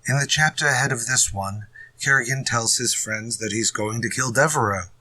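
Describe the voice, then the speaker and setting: An actor playing an exasperated, fretful mystery writer in a retro radio drama. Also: male voice, mid-range voice, normal speed, bright, forceful tone, no room sound, poor audio. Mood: frustrated. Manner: fretful, muttering to himself when stuck. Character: exasperated male mystery writer stuck on his story.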